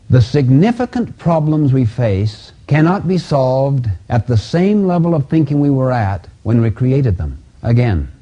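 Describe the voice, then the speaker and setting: A man giving a motivational teaching talk, speaking emphatically and deliberately and repeating key phrases as a self-help speaker. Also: medium pitch, dark tone, husky. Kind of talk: motivational teaching lecture with personal anecdotes. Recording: dull, lo-fi audio.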